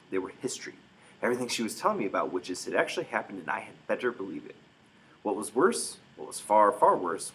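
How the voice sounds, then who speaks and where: A man narrates a storybook aloud with dramatic emphasis. His voice is fairly low and very animated in melody, over faint background noise. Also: creaky, poor audio. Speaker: storybook reader. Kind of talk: expressive read-aloud narration.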